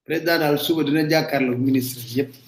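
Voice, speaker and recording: A man's voice: on the high side, steady tone, a little fast, echoey room. A man with a Senegalese accent giving emphatic, casual live political commentary straight to his viewers. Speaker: online commentator.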